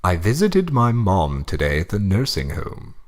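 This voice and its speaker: A man's voice: low-pitched, huge pitch swings, measured pace, full character voice. A man playing a pompous, fussy old husband reading a horror story aloud for comedy. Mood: dramatic reading. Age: older.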